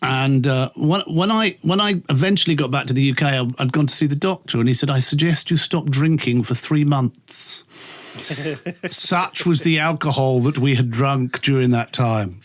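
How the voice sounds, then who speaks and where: A man's voice: mid-range voice, moderate pace. A middle-aged British man chatting in a camp, theatrical way, telling anecdotes and acting out the dialogue as he goes. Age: middle-aged or older. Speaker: radio presenter, interview guest. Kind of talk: animated anecdotal chat.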